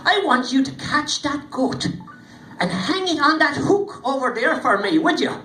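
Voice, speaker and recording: A storyteller voicing a tiny, cunning old man covered in hair, in a high squeaky voice. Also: sing-song, unhurried, pushed and bright, full character voice. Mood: sly. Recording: echoey room with background noise.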